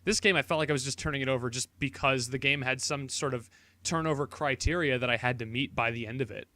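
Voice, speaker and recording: A man's voice: mid-range voice, fast, pushed and bright. A man co-hosting a casual gaming podcast, teasing and bantering with his friends. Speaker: podcast co-host.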